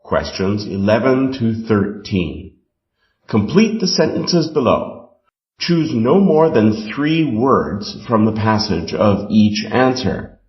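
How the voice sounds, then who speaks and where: In a dull, echoey room, a man reads exam material from a script. His delivery is slow and deliberate, and his intonation rises and falls noticeably as he sets out each instruction. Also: on the low side, very animated. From Canada. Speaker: exam passage reader. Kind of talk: scripted reading of test instructions and questions.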